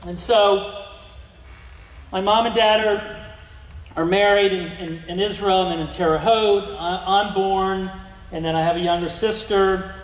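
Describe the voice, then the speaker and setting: A man's voice: high-pitched, fairly even intonation, a little slow, long pauses. Middle-aged man lecturing in an echoey hall. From United States. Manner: rambling, many ums and restarts. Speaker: guest speaker. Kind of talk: museum lecture with slides. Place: museum lecture room. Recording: echoey hall with long reverb.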